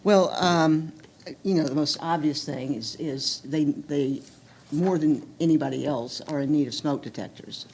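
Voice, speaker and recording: A woman's voice: deep voice, measured pace, a little mellow, a little hiss or hum. An American woman speaking at a medical seminar, practical and direct, with frequent ums and uhs. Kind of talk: seminar talk.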